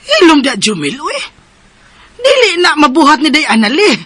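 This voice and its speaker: An actress playing a fretful, worried aunt in a radio drama. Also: female voice, high-pitched, wildly swooping pitch, moderate pace, a bit bright, faint music behind, heavily acted. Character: worried middle-aged aunt, fretful and scolding.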